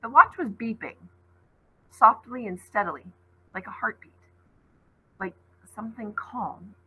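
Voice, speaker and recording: A woman teacher reads a novel aloud with a bright, forward tone and a husky, breathy edge. Her delivery is expressive, lifting sharply in pitch whenever a character calls out. It has the feel of a classroom storytime reading. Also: a little slow, rough recording. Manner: expressive read-aloud, voices rising high on called names.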